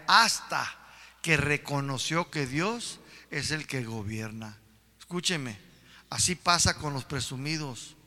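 A man's voice: on the high side, pushed and bright. A man preaching a sermon to a congregation, emphatic and full of rhetorical questions. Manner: emphatic, addresses listeners with repeated rhetorical questions. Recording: reverberant hall.

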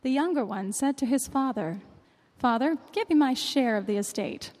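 A woman reading a long Bible passage aloud in church, in a measured, clear way. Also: sits high, animated, a little mellow. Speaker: scripture reader. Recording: long reverb of a large hall.

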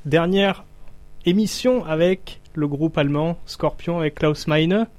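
A man's voice: on the high side, takes it slowly, darkish tone, dry recording. A man interviewing a radio host with short prompting remarks. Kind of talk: interview questions.

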